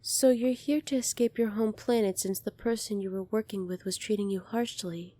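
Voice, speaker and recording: Soft-spoken gentle alien princess, acted by a woman, dry and mellow. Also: mid-range voice, steady tone, normal speed, no room sound, close to an everyday voice. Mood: concerned. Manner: soft-spoken, polite, earnest, apologetic. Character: gentle, earnest young alien princess, kind and unsure. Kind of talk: ASMR roleplay speaking to the listener.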